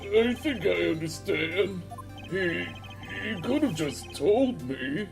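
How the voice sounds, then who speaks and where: A woman's voice: on the low side, animated, takes it slowly, gravelly, music in the background, exaggerated voice. A woman voicing a timid, earnest, sweet young man in a video game fandub, with a hesitant manner. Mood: shy, grateful.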